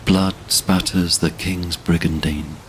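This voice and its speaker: Very deep, slow-paced male narrator. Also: steady tone, music in the background. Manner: solemn, measured, dramatic. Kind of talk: literary fantasy audio drama narration.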